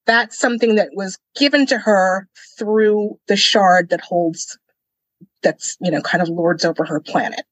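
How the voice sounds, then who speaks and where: A woman's voice: medium pitch, a little slow, no echo, low-quality recording. A woman co-hosting a casual book discussion, explaining things as a guide to the listener. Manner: explanatory, guiding. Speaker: podcast co-host.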